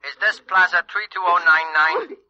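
An actor playing a businesslike telegraph clerk in old radio drama, formal and official, heard through a telephone filter. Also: male voice, high voice, moderate pace, highs cut off, very noisy. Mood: formal, indifferent. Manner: flatly formal, official reading. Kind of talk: 1940s radio acting.

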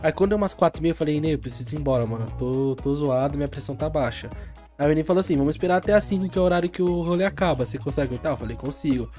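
Quick-talking young man with a cold. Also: fairly high pitch, warm tone, faint music behind. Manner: fast slangy rambling storyteller. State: has a cold. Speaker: channel host. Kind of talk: casual storytelling chat.

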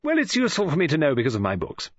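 A woman's voice: deep voice, wildly swooping pitch, very fast, slightly rough, no room sound, muffled recording, pitched above the performer's own voice, exaggerated voice. A man voicing a chatty, flustered middle-aged English woman novelist in an audiobook, with a gushing, breezy manner. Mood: gossipy.

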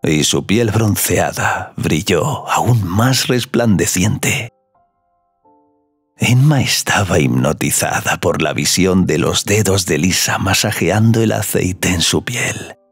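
A man narrating scripted audio fiction in a slow, sensual storytelling manner. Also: low-pitched, lively intonation, breathy edge, dry recording, faint music behind.

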